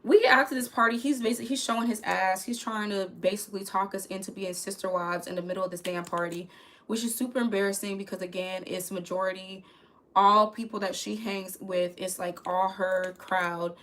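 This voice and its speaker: Young woman, crackly voice, candid chatty storyteller, mid pitch. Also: steady tone, a little fast, a bit bright. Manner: chatty, candid, talks to viewers as "y'all". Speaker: YouTuber telling a story. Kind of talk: casual storytime vlog.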